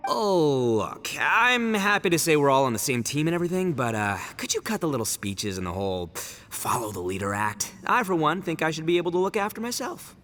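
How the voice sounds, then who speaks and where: Bright, brash, cocky young brawler. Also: male voice, fairly high pitch, sing-song, a little fast, few pauses, slight background noise. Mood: irritated, defiant. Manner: brash, swaggering, slangy. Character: cocky young male brawler, boastful and headstrong. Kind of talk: English video game voice acting.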